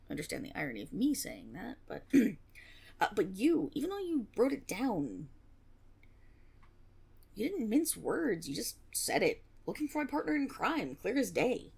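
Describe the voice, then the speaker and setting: Woman playing a husky, flustered young tiefling rogue. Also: on the high side, full character voice. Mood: earnest, eager. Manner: nervous rambling with stammers, sighs and breathy giggles. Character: flustered, eager young female tiefling rogue with a teasing streak. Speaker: audio roleplay performer. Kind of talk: intimate one-sided fantasy audio roleplay, ASMR.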